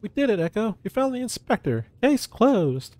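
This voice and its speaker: A man voicing an eager, excitable and naive video game sidekick in a chirpy, earnest way. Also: high-pitched, normal speed, no echo, pitched above the performer's own voice. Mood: excited.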